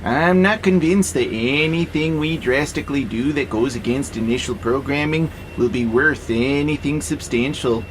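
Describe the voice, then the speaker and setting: A man's voice: medium pitch, moderate pace, few pauses, slight background noise, close to an everyday voice. A man acting in an audio drama as a wry pacifist warehouse robot, speaking in a dry, skeptical, laid-back way. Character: wry, easygoing pacifist male warehouse robot who loves nature.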